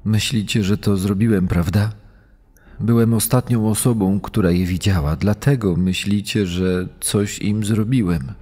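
A man's voice: fairly low pitch, a little slow, warm tone. A man playing a defensive young murder suspect in a dramatised interrogation. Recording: long reverb.

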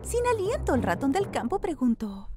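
A woman narrating a scripted children's story with an expressive storyteller's delivery. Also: high-pitched, moderate pace, music in the background.

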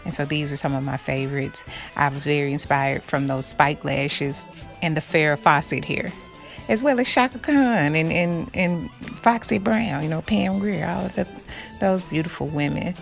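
A woman, hoarse from losing her voice, talking softly through a casual makeup tutorial in a small room, close to the camera. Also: sits low, normal speed, soft background music. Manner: soft-spoken, drops to a near whisper. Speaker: beauty vlogger. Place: small dark room indoors. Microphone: camera close to the face.

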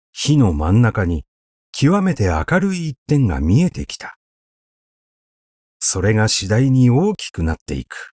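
A man reading a classic literary essay aloud as narrator, with measured, expressive storytelling delivery. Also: mid-range voice, dry recording, studio quality. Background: background music.

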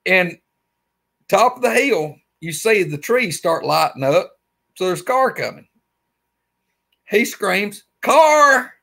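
A man's voice: high voice, slow, creaky, long pauses. A man in his forties with an Alabama accent, telling a rambling story as a guest on a video call from his man cave. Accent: Southern US, Alabama. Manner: animated, rambling storyteller with lots of fillers. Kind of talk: casual storytelling interview. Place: his man cave, small indoor room. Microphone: webcam on a video call.

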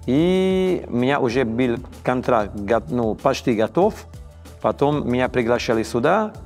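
A man in his fifties speaks Russian with a strong Italian accent, his voice rough with creak. He stumbles and restarts words as he hunts for the right phrase, in a quiet studio interview. Faint music sits under the talk. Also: mid-range voice, big pitch swings. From Italy. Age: 50s. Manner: halting, frequent restarts and stammers, searching for words. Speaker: chef, interview guest. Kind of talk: casual interview conversation. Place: dark indoor studio set, seated in armchair.

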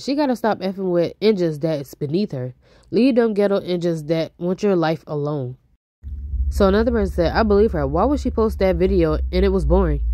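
Woman host, stuffed-up nose, breathless rapid gossip chatter, warm low tone. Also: dry recording. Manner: chatty, fast, reads out posts. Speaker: YouTube host. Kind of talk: casual celebrity gossip commentary.

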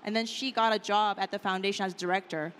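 A woman's voice: medium pitch, fairly even intonation, fast talker, a bit bright. A woman in her thirties giving a casual conference talk on stage into a handheld mic, chatty and full of "like" and "right?". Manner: chatty, lots of "like" and "right?" fillers. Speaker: conference speaker. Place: conference stage in a large hall. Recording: hall reverb with faint room noise.

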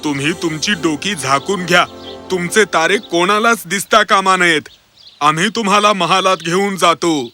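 A man playing a loyal, kindly fisherman in an animated fairy tale, eager and earnest. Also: high-pitched, moderate pace, faint music behind.

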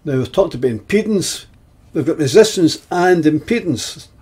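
A man in his seventies giving an explanatory technical lesson in a deliberate, halting way, with pauses between phrases and a husky voice. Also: on the high side, animated, a little mellow. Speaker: presenter of an instructional video.

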